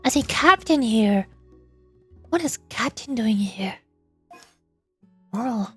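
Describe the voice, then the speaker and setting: A woman's voice: a little high, expressive, takes it slowly, bright, forceful tone, breathy edge. A woman doing casual livestream game commentary, playfully muttering to herself, close on the mic. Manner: playful, mumbles aloud to herself. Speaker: VTuber game streamer. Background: game music.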